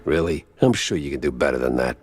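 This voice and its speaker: Very deep, hard-boiled mouse detective. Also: male voice, expressive, fast, slightly rough, heavily acted. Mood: stern, impatient. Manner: wry, terse tough-guy talk. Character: hard-boiled male mouse private detective, dry and gruff. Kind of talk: noir game dialogue. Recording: music behind the voice.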